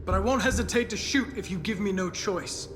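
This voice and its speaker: A man's voice: sits high, a little fast, over music, close to an everyday voice. An actor playing a cold, determined male android hunter in a cinematic game drama. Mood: tense, threatening.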